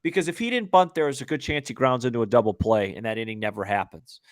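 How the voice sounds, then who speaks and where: A man's voice: mid-range voice, quick pace. A man co-hosting a sports podcast, chatting casually with opinions and repeating phrases for emphasis.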